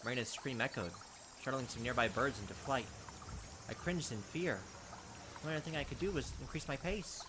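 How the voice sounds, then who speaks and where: A man's voice: mid-range voice, moderate pace, noisy background. A man hosting a let's play, reading the game's narration aloud and adding wry comments in between. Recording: game audio with loud cricket ambience.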